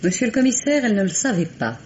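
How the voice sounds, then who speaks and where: A woman's voice: mid-range voice, sing-song, fast talker, darkish tone, a little hiss or hum, natural voice. A woman playing a formal, precise supervisor giving firm testimony in a vintage French radio mystery. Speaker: actor in a radio play. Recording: muffled vintage radio recording.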